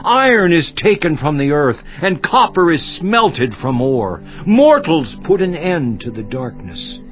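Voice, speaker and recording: A man's voice: on the high side, big pitch swings, measured pace, soft background music. An actor playing an anguished, defiant righteous man in a theatrical, emphatic scripture monologue. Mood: grave. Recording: slightly muffled audio.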